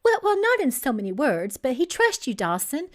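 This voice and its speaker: A woman's voice: high-pitched, huge pitch swings, normal speed, voice pushed up. A woman reading a story aloud, voicing a pampered young bride-to-be who is nervous, pleading and manipulative. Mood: wheedling. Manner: flustered, stammering, pleading.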